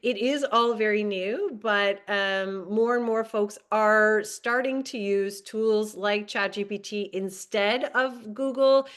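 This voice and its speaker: A woman on a panel giving practical marketing advice in a steady, conversational way. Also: mid-range voice, clear, resonant tone. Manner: advising, tip-giving, steady with fillers. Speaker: social media marketer, panelist. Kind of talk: casual panel discussion.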